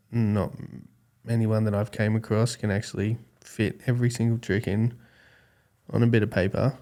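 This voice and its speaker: A man in his forties with an Australian accent speaks in a low, smooth register. He is calm and conversational, pausing and restarting as he thinks his answers through in a casual interview. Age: 40s. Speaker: podcast guest, skateboarding judge.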